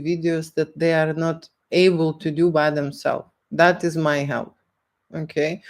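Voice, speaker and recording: A woman's voice: deep voice, a little mellow. A woman with a Lithuanian accent, a photographer answering street interview questions and often checking in with "okay?". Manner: deliberate, keeps checking in with "okay?". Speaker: erotic photographer.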